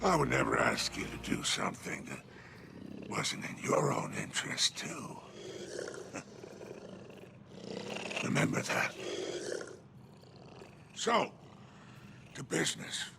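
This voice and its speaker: An actor playing a sly, scheming male alien crime lord in a video game, gravelly and mocking, with a theatrical drawl. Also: mid-range voice, wildly swooping pitch, slow pace, pauses often, background noise, exaggerated voice. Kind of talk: video game cutscene acting.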